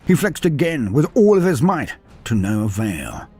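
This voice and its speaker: Man, storyteller, dramatic narration, very animated pitch, husky tone. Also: normal speed, soft background music. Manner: dramatic, rising and falling delivery. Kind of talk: scripted fantasy story narration.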